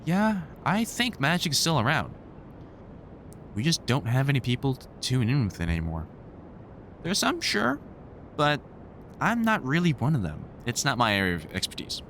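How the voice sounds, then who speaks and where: A man's voice: medium pitch, wildly swooping pitch, moderate pace, pauses often, a bit bright, a little hiss or hum. A man playing a wry, grumpily fond vampire hunter in a conversational audio roleplay, teasing and swearing through rambling explanations. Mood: explaining, wry.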